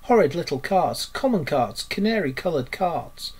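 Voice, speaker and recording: A man voicing a dreamy, rapturous, self-absorbed toad in a storybook, with drawn-out exclamations. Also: a little high, big pitch swings, husky, pitched above the performer's own voice. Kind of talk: storybook character voice.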